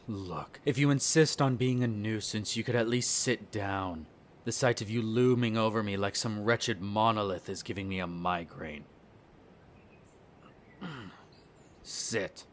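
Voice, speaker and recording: A man's voice: on the low side, a little slow, a little hiss or hum, lightly acted. Guy doing a snobby grumpy vampire noble for audio roleplay, sneery and formal, some birds in the background. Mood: irritated, dismissive. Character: haughty, irritable aristocratic male vampire, disdainful of humans. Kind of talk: roleplay audio drama acting. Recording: nature ambience with birdsong behind. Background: birdsong and garden ambience.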